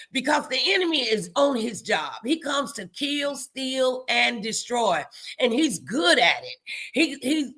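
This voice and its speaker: Female motivational host, faith-based exhortation. Unhurried, bright and forceful, emphatic, talks straight to the viewer. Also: fairly high pitch. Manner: emphatic, repetitive, addresses viewers directly. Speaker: host of a morning motivation live stream.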